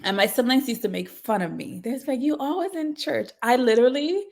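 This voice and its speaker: A woman, a podcast guest telling her life story in lively casual chat and laughing often. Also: fairly high pitch, fairly quick, vocal fry. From Bahamas.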